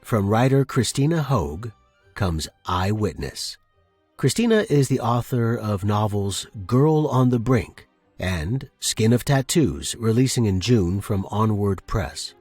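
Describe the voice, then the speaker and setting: Male podcast host and narrator, lower-pitched with a rough husky edge, expressive storytelling read, very dry close sound. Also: slow, dry recording, faint music behind.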